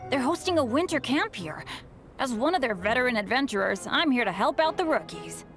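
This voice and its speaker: A woman's voice: high-pitched, animated, fairly quick, fairly bright tone. A woman voicing a cheerful, apologetic young male adventurer in a video game cutscene, eager and self-blaming. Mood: friendly. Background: game music.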